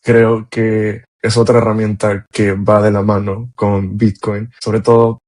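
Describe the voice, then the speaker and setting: A man hosting a podcast, talking in a relaxed, rambling way as he introduces his guest. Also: lowish voice, a little slow. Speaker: podcast host. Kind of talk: casual interview talk.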